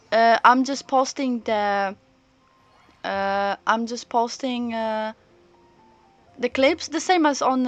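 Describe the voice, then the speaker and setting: Woman, game streamer, casual chat, halting and rambling, thinking out loud, faint game music behind. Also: on the high side, no room sound. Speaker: live streamer. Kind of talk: casual chat while playing a story game.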